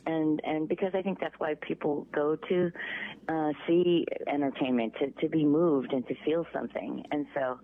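A middle-aged woman with a Chicago accent, chatting as an interview guest, halting and restarting often. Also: low voice, fairly even intonation. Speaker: voice actress guest. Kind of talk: casual interview by phone. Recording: tinny phone line.

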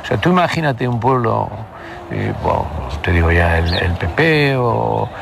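A man talks through memories, pausing often on filler sounds and restarting his sentences. His voice has a rough, gravelly texture. Street noise and passing traffic sit clearly behind him in the recording. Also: on the low side, very animated. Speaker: interview guest. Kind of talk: conversational storytelling.

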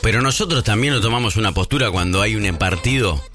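A man's voice: on the low side, animated, fast, pushed and bright, faint music behind. A man with a Rioplatense Spanish accent hosting a radio show, insistently playing devil's advocate. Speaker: radio host. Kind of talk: radio talk debate.

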